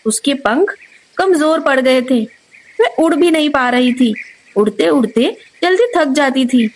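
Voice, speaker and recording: A woman's voice: sits high, expressive, a little slow, a little hiss or hum. A woman narrating a scripted moral story for children as the storyteller.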